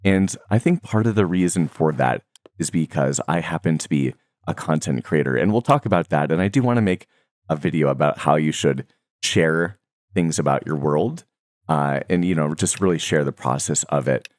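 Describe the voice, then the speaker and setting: A young man with a remarkably deep, low voice talks to his viewers about his daily habits. He rambles easily, drifting through fillers and small laughs as he goes. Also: moderate pace, dry recording, clean studio sound. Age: young adult. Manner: relaxed, rambling, many fillers and soft laughs. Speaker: Minecraft content creator. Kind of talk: casual talk to viewers.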